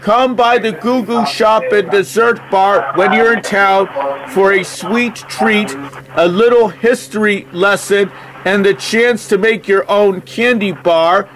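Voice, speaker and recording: A man on a phone call speaking in a halting, deliberate, literal way as he spells and reads aloud. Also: sits high, a bit bright, a little hiss or hum. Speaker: phone call recipient stringing a caller along. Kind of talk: slow phone conversation, spelling and reading aloud.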